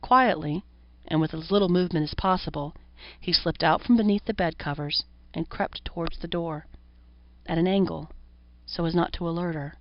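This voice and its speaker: A woman's voice: lowish voice, moderate pace. A woman reading a horror story as an audiobook narrator, in scripted narration. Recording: roomy echo, dull lo-fi sound.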